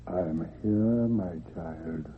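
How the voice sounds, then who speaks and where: A man's voice: low-pitched, slow, phone-quality sound. An actor playing an elderly English grandfather, an indulgent seafaring storyteller, in a radio horror drama. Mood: gentle. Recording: noisy, thin old radio recording.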